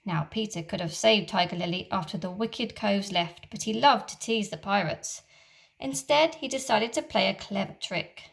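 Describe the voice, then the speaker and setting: A woman's voice: mid-range voice, normal speed, gravelly. Woman reading a children's story. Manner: expressive, animated storytelling.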